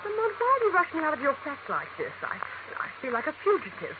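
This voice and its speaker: A woman's voice: sits high, wildly swooping pitch, quick pace, narrow, tinny audio. An actress playing an anxious, pleading young lady, the detective's companion, in an old radio drama. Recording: noisy, thin, distant old radio recording.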